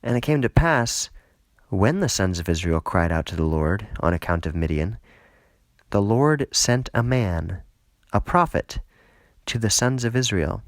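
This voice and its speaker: A man reading scripture aloud as an audiobook narrator, measured and solemn, lifting into emphasis for quoted speech. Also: fairly low pitch, expressive, dry recording. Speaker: audiobook reader.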